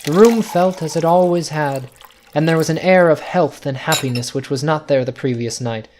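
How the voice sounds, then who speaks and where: A man narrating a scripted ghost story in a deliberate, suspenseful, dramatic style. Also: fairly high pitch, a little mellow, a little hiss or hum.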